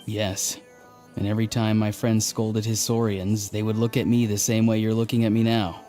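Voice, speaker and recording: A man playing a stoic, earnest young warrior in a video game, with a formal, deadpan and slightly hesitant manner. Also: lowish voice, moderate pace, soft background music, natural voice. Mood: awkward deadpan. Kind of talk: English game voice acting.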